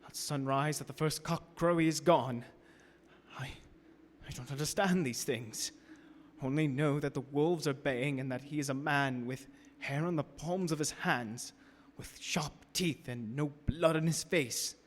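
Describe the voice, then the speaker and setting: A man's voice: fairly high pitch, measured pace, close to an everyday voice. Actor as a terrified captive man in a reverberant hall. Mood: haunted, dread. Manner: intense, theatrical, breaking into cries. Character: terrified young man, captive in a castle, writing his journal. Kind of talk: dramatic radio horror acting. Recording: reverberant hall, faint background noise.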